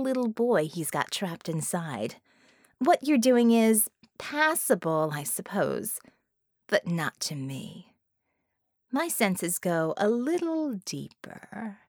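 A woman's voice: mid-range voice, darkish tone, dry recording, studio quality. A woman voicing a haughty, cunning, bored villainess in a cage, with taunting, formal, theatrical phrasing, for an audiobook.

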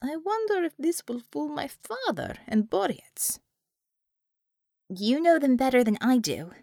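A woman's voice: sits high, very animated, measured pace, gravelly, darkish tone, no echo, studio quality, pitched above the performer's own voice, heavily acted. A woman voicing a brash, commanding Russian woman commissar in an audiobook, with a Russian accent and blunt, non-native English phrasing. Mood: wary.

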